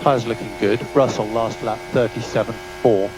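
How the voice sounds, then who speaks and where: A man's voice: on the low side, steady tone, normal speed. Clipped male race engineer over noisy radio. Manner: clipped, businesslike, technical delivery. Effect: team radio transmission. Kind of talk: terse race instructions and updates over radio. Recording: noisy team radio, engine and vehicle hum.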